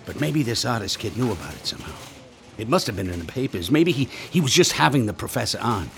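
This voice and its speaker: An actor playing a gruff, skeptical, wisecracking police sergeant, blunt and slangy, in a detective radio drama. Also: male voice, mid-range voice, big pitch swings, quick pace, noisy background. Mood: doubtful. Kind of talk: old-time detective radio drama acting.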